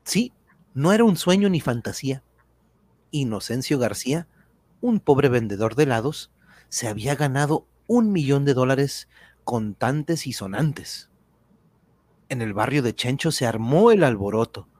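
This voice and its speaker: A man's voice: medium pitch, animated, measured pace, a little mellow, dry recording. A man narrating a radionovela from a script in storytelling style.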